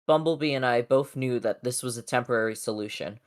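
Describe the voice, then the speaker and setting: A person voicing a solemn robot war leader in grave, measured, reflective comic-dub narration. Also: medium pitch, voice pushed down, close to an everyday voice.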